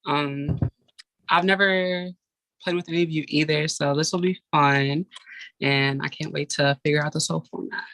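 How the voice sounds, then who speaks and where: A woman's voice: low voice, steady tone, moderate pace, a bit bright, no echo, low-quality recording. A woman in her twenties introducing herself in short, plain sentences on a video call. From Nashville. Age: 20s. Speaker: player.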